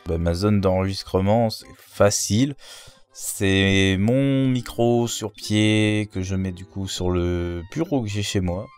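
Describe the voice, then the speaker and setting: A man who voices parts in a fan dub, speaking in a deadpan, self-deprecating way. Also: fairly low pitch, slow, clear, resonant tone, soft background music. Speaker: fandub voice actor. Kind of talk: casual recorded answer.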